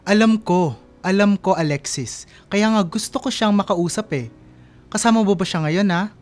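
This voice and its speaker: A man's voice: high voice, close to an everyday voice. Man acting lovesick, pleading young man. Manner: pleading, earnest, halting. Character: lovesick, anxious young man calling his best friend from abroad. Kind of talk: radio drama acting in casual Filipino talk.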